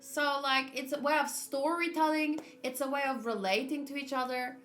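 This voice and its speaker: A woman game streamer giving casual commentary, musing aloud in a halting, conversational way. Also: sits high, fairly even intonation, fairly bright tone. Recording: roomy sound over faint game music.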